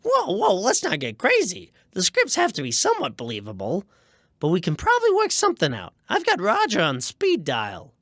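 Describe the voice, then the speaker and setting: A woman playing a fast-talking young schemer with glib, wheedling sales patter in a scripted radio comedy. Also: mid-range voice, huge pitch swings, fairly bright tone, no echo, studio quality, heavily acted. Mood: conspiratorial. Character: fast-talking young scheming huckster pitching dubious deals.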